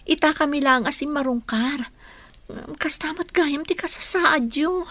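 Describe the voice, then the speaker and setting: High-voiced, anxious young village woman. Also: measured pace, fairly bright tone. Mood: concerned. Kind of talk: radio drama acting. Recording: faint music behind the voice.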